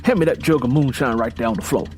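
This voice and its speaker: A man's voice: medium pitch, fast, darkish tone, natural voice. A man voicing a domineering, hard-drinking farmer husband in an audiobook, with an emphatic, folksy, preaching manner. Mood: gruff, defiant. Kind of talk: dramatized audiobook character dialogue. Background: music bed.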